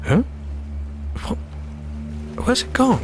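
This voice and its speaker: An actor with a British accent playing a courteous, puzzled gentleman in an audio drama, with polite, old-fashioned phrasing. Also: slow, over music, close to an everyday voice.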